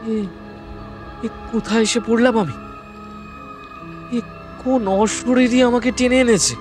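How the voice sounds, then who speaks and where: A man plays a frightened captive in a horror audio drama. His voice is high for a man, and he stammers and repeats his words as he pleads. Faint music plays underneath. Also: fairly even intonation, slow pace. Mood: fearful bewilderment.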